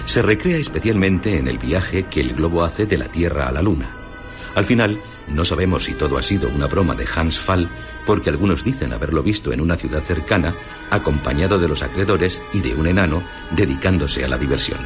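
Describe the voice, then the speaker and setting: A man with a Castilian Spanish accent presenting literary radio stories in a measured, dramatic scripted narration. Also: a bass voice, lively intonation. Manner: measured, dramatic storyteller delivery. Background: music behind the voice.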